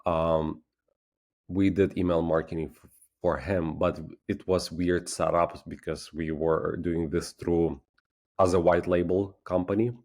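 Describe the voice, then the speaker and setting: Deep-voiced man with a Ukrainian accent. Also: flat delivery, darkish tone. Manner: halting, restarts words, self-deprecating. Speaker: guest, agency founder. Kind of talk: casual podcast interview.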